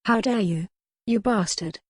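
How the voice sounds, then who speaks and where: A woman's voice: mid-range voice, a little slow, slightly rough, no room sound. Female narrator playing young society lady, flustered but proud, formal, emphatic; romance audiobook, dry studio sound. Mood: furious. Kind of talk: audiobook character acting.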